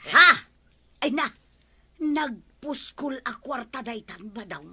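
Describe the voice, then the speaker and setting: An actress playing an exasperated farmer's wife in an Ilocano radio comedy drama, with an Ilocano accent. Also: female voice, on the high side, animated, slow, sharp tone, slightly rough, full character voice. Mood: suspicious, scolding.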